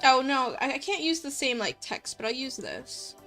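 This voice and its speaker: A woman's voice: high-pitched, sing-song, normal speed, sharp tone. A woman hosting a casual livestream, talking informally and a little self-consciously in a rambling way as she works. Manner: informal, rambling, self-conscious, giggly, mutters while working. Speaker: livestream host and visual novel writer. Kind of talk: casual livestream chat while coding and reading viewer comments. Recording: music playing behind the voice. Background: background music.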